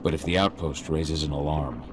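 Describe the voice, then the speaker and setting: An actor playing a gruff, stoic, loyal werewolf warrior in a dramatic video game. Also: male voice, extremely low pitch, fairly even intonation, moderate pace, background noise. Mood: grim, resolute.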